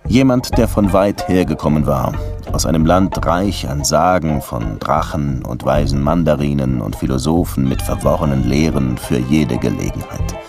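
A man's voice: a bass voice, expressive, normal speed. A man playing a world-weary police detective from Cuba, narrating his case in a radio drama. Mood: wry, reflective. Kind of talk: radio play narration and dialogue. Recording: music under the voice.

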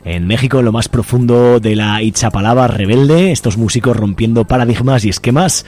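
A man's voice: medium pitch, a little fast, a little mellow. A man with an accent from Spain hosting a radio show, chatting informally and encouragingly through interviews and announcements. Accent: Spanish, from Spain. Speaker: radio host. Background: faint music.

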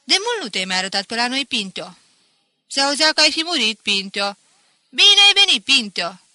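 A woman voicing a rowdy, teasing village tavern regular in an audiobook, calling out loudly and familiarly to an old friend. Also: high-pitched, big pitch swings, dry recording, voice pushed up, full character voice. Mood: rowdy, welcoming. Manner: loud, exclamatory, familiar. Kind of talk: audiobook character acting.

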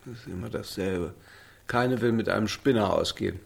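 A man's voice: on the low side, normal speed, gravelly, husky, exaggerated voice. An actor playing a lonely, tipsy middle-aged father in a radio drama, wry and bitter, with a rambling, self-mocking way of talking. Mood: wry, resigned. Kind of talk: naturalistic radio drama acting.

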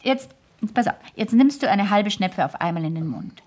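A woman's voice: mid-range voice, animated, normal speed, darkish tone, natural voice. An actress playing a worldly, imperious older great-aunt in a radio play, grand and exacting as she instructs. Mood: brisk, instructing. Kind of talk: 1970s German radio play acting.

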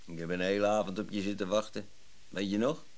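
An actor playing a wary, aggrieved antiques dealer in a radio play, blunt and sardonic. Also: male voice, lowish voice, little pitch movement, quick pace, lightly acted. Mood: aggrieved, guarded.

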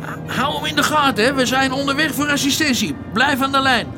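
An actor playing an eager, cheeky police sergeant in a radio drama, excitable and informal. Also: male voice, extremely high pitch, brisk, over music. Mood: urgent.

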